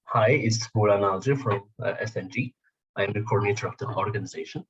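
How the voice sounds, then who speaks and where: A man's voice: lowish voice, steady tone, brisk, husky, dull audio. A man, coordinator of an organization, speaking in an easygoing, hesitant way on a call.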